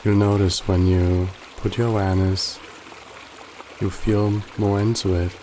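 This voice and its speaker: Slow, low-voiced man guiding meditation over water. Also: slight background noise, rough recording. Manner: slow, calm, gently instructive with long pauses. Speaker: meditation guide. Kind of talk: guided body scan meditation. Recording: loud running water behind the voice. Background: trickling stream water ambience.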